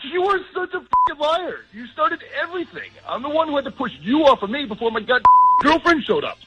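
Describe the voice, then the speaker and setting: A man on a radio phone-in, talking casually and haltingly, often repeating his words. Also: very high voice, sing-song, sharp tone, poor audio. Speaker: caller on a radio show.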